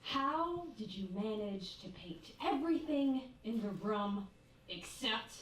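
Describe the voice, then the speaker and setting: A woman playing a dramatic, long-suffering wife in a live radio-style comedy, with a theatrical, drawn-out delivery. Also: mid-range voice, lively intonation, a little hiss or hum. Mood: exasperated. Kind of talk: live old-time radio comedy acting. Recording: echoey distant stage recording.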